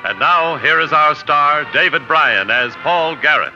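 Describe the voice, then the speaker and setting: A male announcer with a fairly high voice proclaims grandly in 1950s radio style. Loud music plays behind him on a muffled old recording. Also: animated, unhurried. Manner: grand, declamatory. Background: loud theme music.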